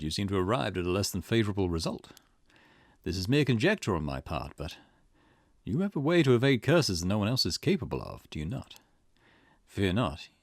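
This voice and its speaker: A man voicing a polite, cryptic storyteller in a visual novel, formal, mannered and unhurried. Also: low-pitched, dry recording, exaggerated voice.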